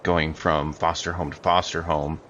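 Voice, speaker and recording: A man's voice: extremely low pitch, little pitch movement, moderate pace, fairly bright tone, dull audio, slight background noise. A man playing a tabletop role-playing game, talking casually and hesitantly as he thinks aloud about his character.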